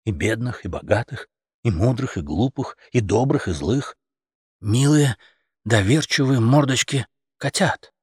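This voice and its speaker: A man narrating a satirical fairy tale as an expressive audiobook storyteller. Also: mid-range voice, a little slow, husky, no echo, studio quality.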